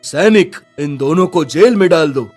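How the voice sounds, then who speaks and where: A man's voice: a little high, animated, moderate pace, a little mellow. A man voicing an authoritative king and father in a cartoon, formal and commanding. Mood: stern anger. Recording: music under the voice.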